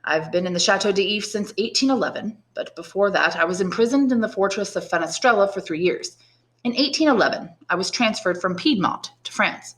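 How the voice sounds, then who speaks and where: A woman's voice: medium pitch, animated, brisk, a bit bright, pitched above the performer's own voice, lightly acted. An elderly, learned priest long held prisoner, acted out by a woman in a classic audiobook. The delivery is articulate and emphatic, full of rhetorical force, bitter and resigned. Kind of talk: dramatised audiobook reading of a classic novel.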